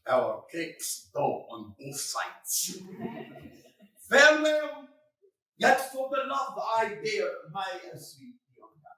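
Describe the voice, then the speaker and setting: A man playing a foolish, indignant old gentleman suitor in a staged reading of a Shakespearean comedy, exclaiming with comic exasperation. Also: high voice, sing-song, takes it slowly, heavily acted. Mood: exasperated. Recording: echoey room, recorded from far off.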